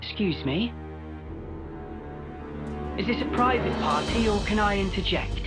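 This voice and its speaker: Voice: medium pitch, lively intonation, measured pace, loud music behind the voice, close to an everyday voice. An actor playing a suave, scheming video game villain who is languid, sardonic and mock-polite. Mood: sly, amused. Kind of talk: video game cutscene acting.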